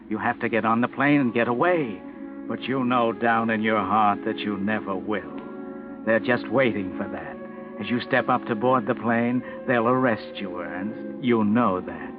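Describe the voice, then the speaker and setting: An actor playing a taunting, urgent narrator in a 1940s radio crime mystery. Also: male voice, medium pitch, lightly acted. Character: ominous, taunting male narrator of a crime tale. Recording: tinny 1940s radio recording, music behind.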